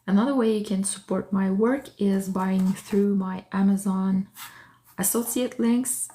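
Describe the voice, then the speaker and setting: A woman whose first language is French chats live from her art studio. She takes her time and thinks aloud with small fillers. She warmly welcomes each viewer by name while she works. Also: medium pitch, fairly even intonation, measured pace. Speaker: artist hosting a livestream. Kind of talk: casual livestream chat while working.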